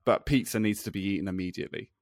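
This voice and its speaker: A man's voice: deep voice, fast, dry recording, studio quality. A man chatting and bantering as a podcast co-host, jokey and playfully dramatic in casual conversation.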